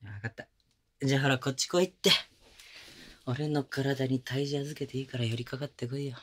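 A doting young boyfriend, voiced by a man, rough-mouthed yet endlessly gentle. His voice sits in an ordinary middle pitch and drops to soft, close whispers now and then. It is captured intimately on a binaural mic, as in a roleplay audio drama. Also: a little fast, lightly acted. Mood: tender, teasing. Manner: casual rough slang softened by gentle coaxing. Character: young male boyfriend, rough-spoken but doting caretaker. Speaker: voice actor. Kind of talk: Japanese girlfriend-experience roleplay audio drama.

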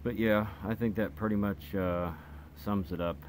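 A man's voice: on the low side, steady tone, moderate pace, a little mellow. A man giving a casual, informal product demonstration as a hobbyist reviewer, speaking somewhat hesitantly. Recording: noisy home video recording. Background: clear background noise.

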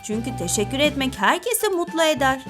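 A woman's voice: sits high, sing-song, fairly quick, over music. A woman narrating scripted children's stories and addressing young listeners in an instructive way. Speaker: narrator and channel presenter.

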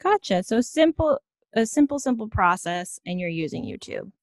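A woman's voice: medium pitch, very animated, moderate pace, dry recording, studio quality. A woman hosting a podcast, chatting casually with her guest in a conversational interview style. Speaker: podcast host.

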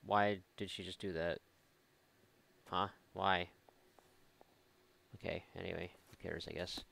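A man's voice: low-pitched, fairly even intonation, measured pace, gravelly, a bit bright. A man doing casual, irreverent let's play commentary, mocking and thinking aloud. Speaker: gaming YouTuber.

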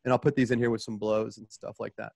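A man's voice: on the low side, level tone, fast talker, gravelly, a little mellow, no echo, clean studio sound. A man directing a radio drama, casually narrating sound cues in an informal way with spoken sound effects.

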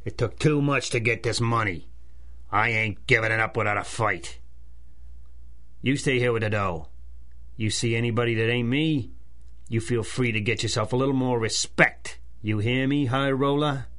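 A man's voice: medium pitch, moderate pace, fairly bright tone, close to an everyday voice. An actor playing a wary, hard-bitten bank robber in a 1930s-style radio drama, laconic and cynical in tough-guy slang. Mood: suspicious, tough.

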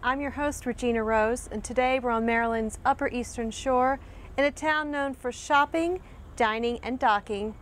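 A middle-aged American woman hosting a scripted travel piece to camera, speaking clearly and deliberately. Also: on the high side, a little hiss or hum. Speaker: host, librarian. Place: outdoors in a small waterfront town.

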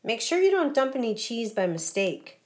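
A woman voicing an excitable little mouse with exclamatory, animated delivery in a children's picture book. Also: on the high side, moderate pace. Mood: eager. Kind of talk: children's picture book read-aloud dialogue.

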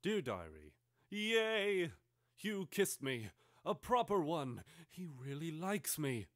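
A man's voice: fairly high pitch, sing-song, takes it slowly, no echo, clean studio sound, pitched above the performer's own voice, heavily acted. Man acting out a melodramatic schoolgirl diarist. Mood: excited. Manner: overwrought, swinging from hushed to exclaiming. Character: melodramatic twelve-year-old schoolgirl confiding in her diary. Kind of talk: theatrical dramatic reading of a teenage diary.

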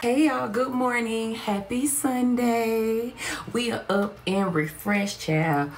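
A woman's voice: on the high side, slow, faint music behind. A woman vlogger chatting casually to the camera, calling viewers y'all. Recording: noisy background.

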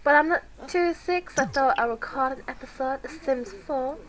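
A woman's voice: high-pitched, normal speed, low-quality recording. A woman with a cold, casually commenting on her gameplay and talking to her characters. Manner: chatty, talks to her game characters. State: sick with a cold. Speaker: let's play host.

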